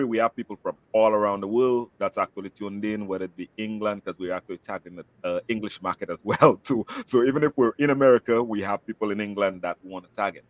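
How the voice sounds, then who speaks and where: Male interview host, Saint Lucian accent. Lowish pitch, dry sound, casual promotional delivery, talkative. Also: dry recording, rough recording. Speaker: host, travel agent.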